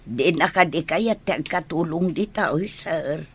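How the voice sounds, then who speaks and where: A woman's voice: low-pitched, a little slow, husky, heavily acted. A woman acting in a radio drama as a hesitant young woman who defers to her boss. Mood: meek, deferential.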